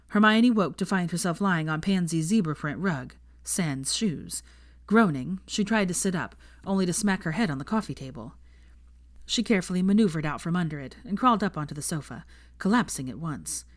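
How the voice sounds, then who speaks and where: A woman's voice: on the low side, lively intonation, moderate pace. A woman reading a story aloud as a narrator, in a scripted storytelling style. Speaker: audiobook narrator of fan fiction.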